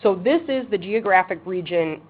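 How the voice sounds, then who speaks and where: Woman chairing a webinar, brisk and practical, heard over a thin phone line with faint hiss. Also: medium pitch, expressive. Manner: says she has a loud voice; brisk, practical, with frequent ums. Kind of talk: webinar presentation. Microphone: speakerphone on a table. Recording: tinny phone line with faint background noise.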